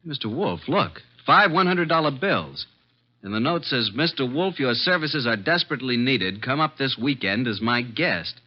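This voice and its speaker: An actor playing a brisk, wry detective's assistant who also narrates, in 1950s radio drama style. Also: male voice, mid-range voice, expressive, close to an everyday voice. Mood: wry, puzzled. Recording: dull, lo-fi vintage radio recording.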